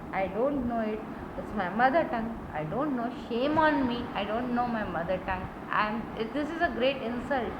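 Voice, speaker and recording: An Indian woman teaches an English lesson in a slightly high voice, explaining slowly and restating each word. She checks with "right?" and switches into Hindi to make a point. Her voice rings around a bare room over loud background noise. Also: reverberant, dull audio. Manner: explains slowly, rephrases words and asks "right?".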